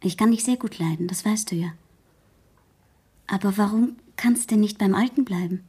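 A woman's voice: medium pitch, moderate pace, dark tone, slightly rough. An actress playing an outspoken, proud teenage girl in a German radio play, speaking earnestly and frankly. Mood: pleading.

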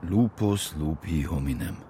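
A man's voice: rumbling low, animated, unhurried, mellow, a little hiss or hum. A man acting a weary, brooding police investigator in a radio crime drama, talking in terse phrases.

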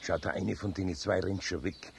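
Gravelly older Bavarian craftsman. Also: sits low, fairly even intonation, moderate pace. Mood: coaxing. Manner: fatherly, coaxing, easily riled when wronged. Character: good-natured older Bavarian craftsman, gruff but sensible. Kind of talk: 1970s German radio play acting. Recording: faint background noise, old radio production.

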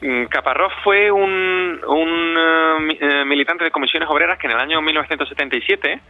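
A man's voice: on the high side, no room sound, faint music behind. A man speaks as a radio interview guest. He gropes for words and doubles back on them, in a dry, slightly muffled lo-fi recording. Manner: halting, searching for words, repeats words. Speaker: interview guest, audio fiction director. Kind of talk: spontaneous interview answers.

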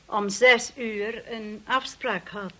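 An actress playing a stern, formal housekeeper of a grand manor in a radio drama, measured and coolly deferential. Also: female voice, on the high side, fairly even intonation, bright, forceful tone, exaggerated voice.

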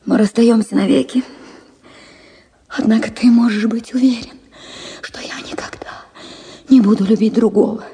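An actress playing a heartbroken married woman reading her farewell letter to a lover in a radio drama, in a hushed, slow, confessional way. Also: fairly high pitch, steady tone, background noise, natural voice. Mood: sorrowful, resigned. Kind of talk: Russian literary radio drama, letter monologue. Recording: music under the voice. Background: music.

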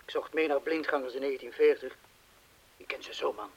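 Een acteur die in een hoorspel een gespannen, vastberaden inbreker speelt, kortaf en dringend, met een hese stem. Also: male voice, mid-range voice, fairly even intonation, a little fast, husky, highs cut off. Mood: grim, determined. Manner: terse, urgent, hushed at times. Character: tense, determined burglar who knows bombs, loyal to mate. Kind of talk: Dutch-language radio drama acting.

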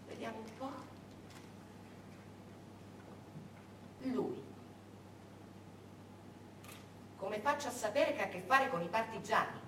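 A woman's voice: mid-range voice, natural voice. An actress playing a bitter, jealous village woman who taunts and accuses in a theatrical monologue. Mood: cold. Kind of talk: stage monologue. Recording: echoey stage, distant mic, faint noise.

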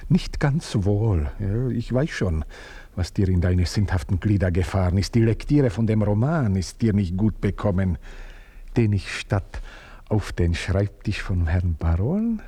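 An actor playing a scheming, fawning manservant in a radio play. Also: male voice, fairly low pitch, sing-song, a little slow, mellow, slightly rough, heavily acted. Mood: sly. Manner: sly, insinuating, fawning. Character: scheming, cunning male manservant, middle-aged. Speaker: servant.

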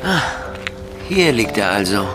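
A man's voice: lowish voice, big pitch swings, measured pace, over loud music. A man playing a detective's matter-of-fact police sidekick in a radio drama, speaking plainly. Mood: practical.

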